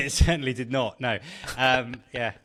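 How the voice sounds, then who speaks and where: A man's voice: medium pitch, steady tone, moderate pace, sharp tone. A middle-aged man with a British accent, chatting casually and telling anecdotes as a podcast guest. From Britain. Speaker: podcast guest, tech founder.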